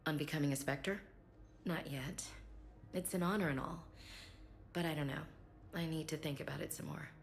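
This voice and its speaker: A woman's voice: deep voice, fairly even intonation, normal speed, gravelly, pauses often. An actress playing a reserved, principled woman military officer in a video game, earnest and a little hesitant, over faint ambient noise. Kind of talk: video game cinematic dialogue acting.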